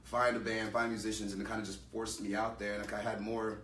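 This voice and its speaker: Low, bright-voiced man, roomy and distant. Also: level tone, fast. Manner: loud bursts of laughter, playful and bold. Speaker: guest, musician and actor. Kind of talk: casual interview chat. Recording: roomy, off-mic with faint ambience.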